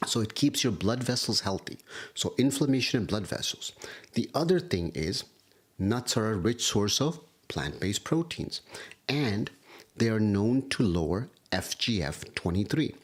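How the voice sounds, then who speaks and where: A man, a doctor, giving a livestream health lecture, hoarse from a cold and walking through studies point by point. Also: lowish voice, big pitch swings, measured pace. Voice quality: husky. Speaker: kidney doctor. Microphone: livestream setup.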